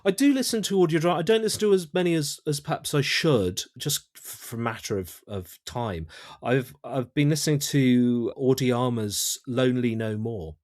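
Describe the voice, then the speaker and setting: A British man giving conversational interview answers as a guest writer-director, often halting and repeating words as he thinks. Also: medium pitch, a little mellow, no echo, studio quality. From United Kingdom.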